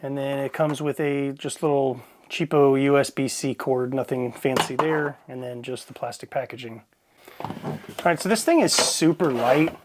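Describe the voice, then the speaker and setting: A man's voice: on the high side, noisy background. A man giving a casual, plain-spoken product review, explaining things informally as he goes.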